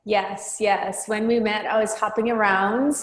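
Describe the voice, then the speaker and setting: A woman in her thirties from Estonia, a podcast guest chatting casually and warmly, often repeating "yes". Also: mid-range voice, level tone, measured pace. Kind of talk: casual interview chat. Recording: roomy reverberant room sound.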